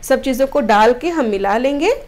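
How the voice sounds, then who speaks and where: A woman's voice: high-pitched, lively intonation, moderate pace, a little mellow. A woman from Odisha, India, narrating a home cooking recipe step by step in a friendly instructional way as the host of a cooking channel. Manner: friendly step-by-step instructions, mixing English words.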